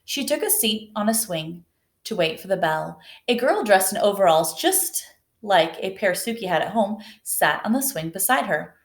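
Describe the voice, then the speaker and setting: A woman reading a children's picture book aloud in a gentle, unhurried way. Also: mid-range voice, expressive. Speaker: storybook reader. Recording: echoey room.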